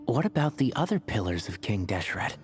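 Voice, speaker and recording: A man's voice: medium pitch, big pitch swings, fairly quick, lightly acted. A man voicing a sensible young botanist in a video game, dry and matter-of-fact, with an inquisitive streak. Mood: curious, matter-of-fact. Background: soft game music.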